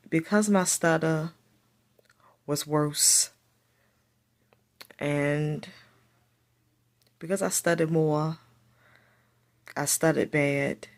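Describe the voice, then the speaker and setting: A woman who speaks with a stutter, telling a personal story to camera and repeating her phrases as she goes. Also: sits low. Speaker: vlogger.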